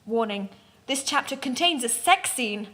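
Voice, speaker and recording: A woman's voice: fairly high pitch, animated, unhurried, sharp tone. A woman narrating a comic dramatic reading in a mock-serious, theatrical manner.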